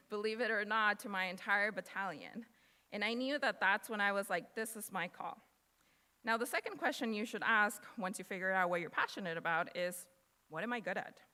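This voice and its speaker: A woman's voice: medium pitch, pushed and bright. A young woman in her twenties, Spanish her first language, giving an earnest, casual motivational speech to students. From United States. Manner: earnest, casual with fillers and laughs. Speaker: guest speaker. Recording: long hall reverb.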